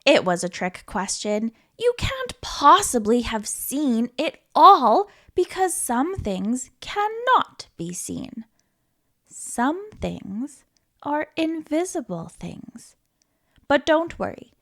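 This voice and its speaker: A woman reading a children's book aloud as a storytime host, in an expressive, playful manner with questions to the listener and dramatic pauses. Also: high voice. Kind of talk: animated children's read-aloud.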